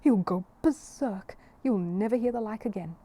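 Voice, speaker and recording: An excitable working repairman from a storybook, acted out by a woman. He talks in a matey, colloquial way, easily astonished and given to exclaiming, pitched a little above the narration. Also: normal speed, natural voice. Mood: excited.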